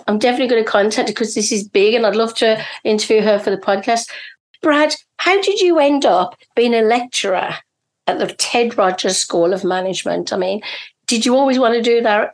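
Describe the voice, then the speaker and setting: A middle-aged woman hosting a casual podcast interview, with a slightly hoarse edge to her voice. She is enthusiastic and chatty, with plenty of ums and repeated words. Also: mid-range voice, a little fast. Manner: enthusiastic, chatty, many fillers. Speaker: podcast host.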